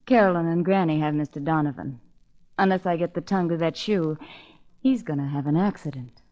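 An actress playing a seductive, scheming femme fatale in a 1940s radio drama, with a sultry, calculating delivery. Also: female voice, sits low, brisk, warm tone, lightly acted. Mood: threatening. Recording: dull vintage radio recording.

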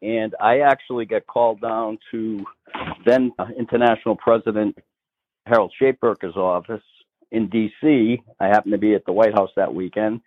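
A man's voice: on the low side, steady tone, normal speed. Boston-accented man on a tinny phone line. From Massachusetts, north of Boston. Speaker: guest, state politician. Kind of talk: phone-in radio interview.

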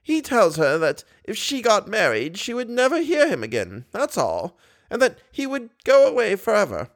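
A serene old lady with a sweet, childlike innocence and a lilting voice that rises and falls freely. She is high and light, as a male narrator puts her on for a storybook reading. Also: wildly swooping pitch, moderate pace, heavily acted. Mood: earnest. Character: kindly old bedridden woman, childlike and serene.

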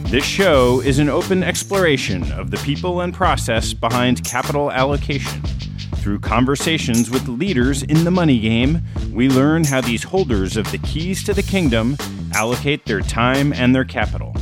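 A man speaks as a podcast host, delivering a prepared introduction. His pitch is average and his range is lively, with clear music playing behind him. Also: moderate pace. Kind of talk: scripted podcast introduction and interview questions.

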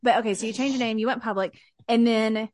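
A woman's voice: on the high side, steady tone, quick pace, darkish tone, no echo. A woman hosting a podcast interview in a playful, teasing way, laughing easily and swearing casually. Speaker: podcast host.

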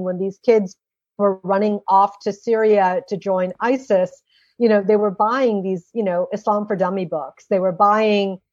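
A woman's voice: medium pitch, darkish tone, no echo, lo-fi sound. An American woman giving explanatory, emphatic interview answers as a policy expert, telling anecdotes and posing rhetorical questions. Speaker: interview guest, former government policy expert.

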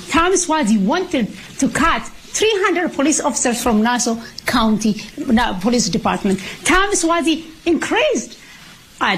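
Woman, debate speech, high pitch, faint ambience, repetitive forceful delivery. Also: a little hiss or hum, rough recording. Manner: repetitive, forceful campaign lines. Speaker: candidate in debate clip.

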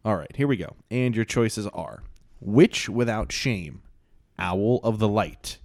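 A man's voice: lowish voice, slow, a little mellow, no room sound, clean studio sound. A man hosting a podcast in casual chat, laid-back and rambling, with lots of fillers. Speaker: podcast host.

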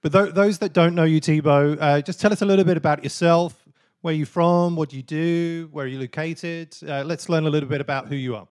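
A man hosting a podcast interview, eager and quick to restart his phrases, lifting his voice when he asks questions. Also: a little high, steady tone, darkish tone, clean tone, dry recording, clean studio sound. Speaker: podcast host.

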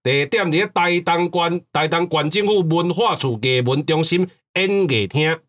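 A man's voice: on the high side, a little slow, fairly bright tone. A man with a Taiwanese accent hosting a casual chat in a folksy, jokey way. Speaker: podcast host.

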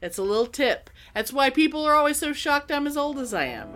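A woman's voice: high-pitched, brisk, over music. A woman in her fifties chatting casually as a podcast co-host, swearing freely and telling stories with energy. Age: 50s. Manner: profane, animated storyteller. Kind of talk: casual chat.

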